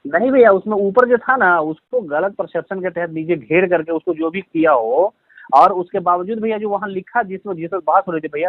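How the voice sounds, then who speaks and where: A man's voice: high voice, vocal fry, no room sound. A man with a Bihari accent speaks deferentially and apologetically, pleading and explaining himself over the phone. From Bihar, India. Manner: deferential, pleading, repeatedly saying brother. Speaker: caller being confronted over a social media comment. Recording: tinny, lo-fi recorded phone call.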